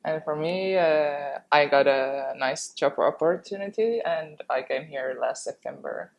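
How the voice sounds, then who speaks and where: A woman's voice: low-pitched, a little slow, vocal fry, muffled recording. A woman from Finland with a Finnish accent, casually explaining and chatting as a podcast co-host.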